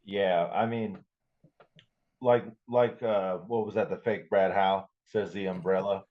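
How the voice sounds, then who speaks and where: A man's voice: sits low, steady tone, measured pace. A man co-hosting a podcast, chatting casually about sports, on a dull, lo-fi recording. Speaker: podcast co-host.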